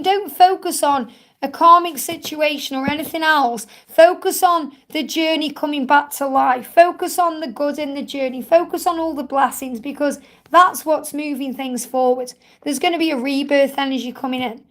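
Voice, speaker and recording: High-pitched woman reading cards live, slightly croaky. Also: moderate pace. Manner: gentle, reassuring, addresses viewers as honey. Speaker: spiritual card reader hosting a live Q&A. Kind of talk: live-stream card reading, chatty.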